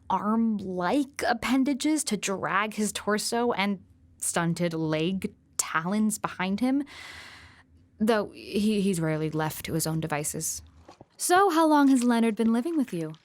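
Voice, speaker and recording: An actress plays an earnest audio documentary reporter. Her voice is mid-range and composed, delivering narration and questions with measured, straight-faced seriousness. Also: mid-range voice, close to an everyday voice. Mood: inquiring. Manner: measured, deadpan. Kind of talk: scripted narration and interview.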